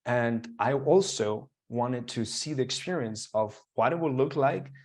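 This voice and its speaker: A young man whose first language is Spanish speaks with a Colombian accent in a relaxed interview. His voice sits at an ordinary middle pitch. He rambles along eagerly, stacking fillers and false starts. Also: a little slow. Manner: animated, rambling with many fillers and restarts. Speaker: guest. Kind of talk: casual storytelling interview.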